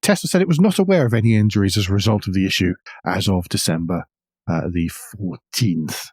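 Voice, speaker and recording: A man with a fairly low voice talks through car news as a podcast co-host. He shifts between reading items and chatting, slipping in wry asides. The sound is very dry, with no room around him. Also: brisk, a little mellow, dry recording. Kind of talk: conversational car news discussion, partly read out.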